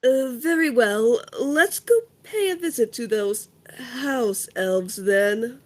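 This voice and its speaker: A woman plays a snobbish teenage wizard boy with a lightly raised pitch. The delivery is slow, drawling and disdainful, reluctant in everything, as in an audio drama. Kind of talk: fan audio drama acting.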